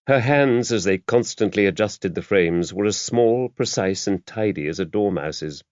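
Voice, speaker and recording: Male audiobook narrator, lowish voice, varied intonation, dry close sound. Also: expressive, measured pace, a little mellow, no echo, muffled recording. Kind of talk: scripted narration of a mystery novel.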